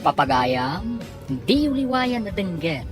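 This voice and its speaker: A woman's voice: medium pitch, very animated, a little slow, music in the background. A woman with a Filipino accent narrating a serial drama from a script.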